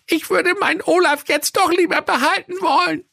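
A woman's voice: very high-pitched, steady tone, normal speed, creaky, bright, forceful tone, no room sound, clean studio sound, full character voice. A woman playing a flustered, whiny, dramatic young woman in a comic fairy tale audio play. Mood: tearful. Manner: stammering, exasperated.

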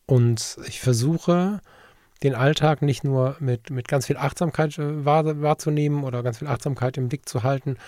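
A man's voice: mid-range voice, normal speed, darkish tone, no room sound, studio quality. A man hosting a podcast, telling stories in a reflective, earnest way during a casual conversation. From Germany, Ruhr area region. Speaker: podcast host.

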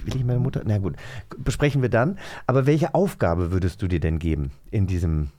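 A man's voice: low voice, brisk, dark tone. A man co-hosting a podcast in casual, joking chat, teasing and often feeling his way through sentences. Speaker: podcast co-host.

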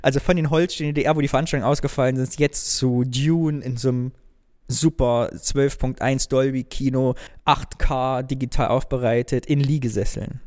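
A man from northern Germany, co-hosting a podcast, chatting in a lively storytelling way. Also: mid-range voice, brisk. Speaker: podcast co-host. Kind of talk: casual chat.

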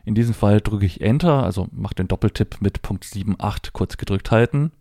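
A man's voice: fairly low pitch, a little fast, vocal fry, mellow, no room sound, studio quality. A middle-aged man giving a conversational, step-by-step tech tutorial, explaining and spelling things out as he demonstrates a device. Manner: spells out letters and dot numbers step by step. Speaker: presenter of a tech tutorial for Braille users.

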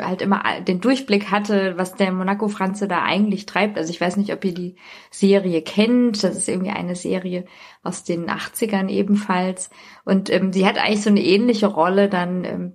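A woman chatting casually as a podcast guest, recounting things in a loose, tentative, rambling way. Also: mid-range voice.

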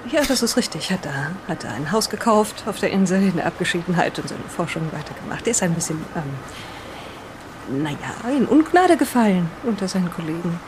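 A woman's voice: on the low side, expressive, brisk, close to an everyday voice. Female role, woman acting: lighthouse keeper's wife, weary and guarded, hesitant delivery, audio drama, noisy backdrop. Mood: hesitant, confiding. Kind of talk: improvised in-character audio drama retelling. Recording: clearly noisy background, vehicle-like ambience.

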